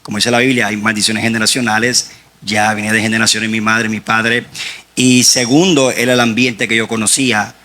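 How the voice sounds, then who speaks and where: Bright-voiced Puerto Rican man testifying. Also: lowish voice, little pitch movement, normal speed, slight background noise. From Puerto Rico. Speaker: guest author. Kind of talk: personal faith testimony.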